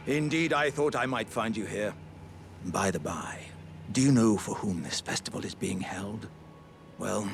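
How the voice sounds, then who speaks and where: An actor playing an earnest, jovial living warrior jar in a video game, speaking with grand, old-fashioned courtesy and theatrical formality. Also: male voice, on the low side, vocal fry, a bit bright, full character voice. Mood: delighted, resolute. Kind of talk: video game character dialogue.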